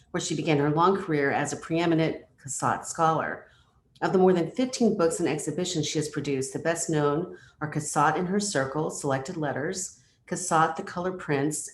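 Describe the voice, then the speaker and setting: A woman hosting an online event, reading a prepared introduction formally with small stumbles. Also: low voice. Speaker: event host introducing a speaker. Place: indoors, on a video call. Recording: echoey room, faint background noise.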